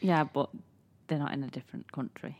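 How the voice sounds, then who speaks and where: A woman with a very low voice and a Mancunian accent co-hosts a podcast. She chats casually and giggles often. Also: fairly even intonation, brisk, a little mellow. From England. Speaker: podcast co-host. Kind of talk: casual chat.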